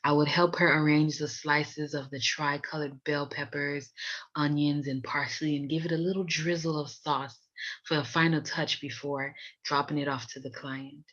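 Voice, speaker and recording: A woman's voice: low-pitched, flat delivery, moderate pace, fairly bright tone. American woman storyteller, slightly dull recording. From Miami, USA. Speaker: podcast host. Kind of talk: personal storytelling introduction.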